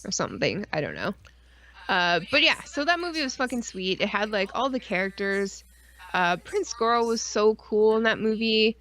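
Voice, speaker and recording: A woman co-hosts a live stream in a voice of average pitch. She talks casually and rambles along like an enthusiastic geek. Her speech is full of slang and swearing. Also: big pitch swings, moderate pace, a bit bright. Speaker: podcast co-host.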